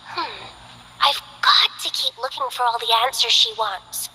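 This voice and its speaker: A gentle, shy young botanist girl from a game, soft-spoken and a little hesitant. Her voice sits high and bright with a breathy edge. It is heard with a long hall reverb. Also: big pitch swings, a little slow, exaggerated voice. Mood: cheerful. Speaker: game character. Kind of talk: game voice lines.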